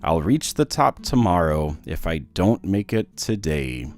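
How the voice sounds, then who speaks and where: A man's voice: low-pitched, sing-song, measured pace, a little mellow, pitched below the performer's own voice. A man voicing a hopeful, determined little boy who earnestly encourages himself in a children's storybook.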